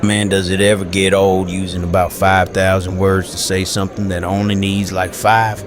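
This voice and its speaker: A man playing a gruff, protective survivor in an audio drama, terse, blunt and plain-spoken. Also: sits low, little pitch movement, normal speed, music in the background, lightly acted. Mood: wry. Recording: outdoor crowd chatter behind. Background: party crowd chatter.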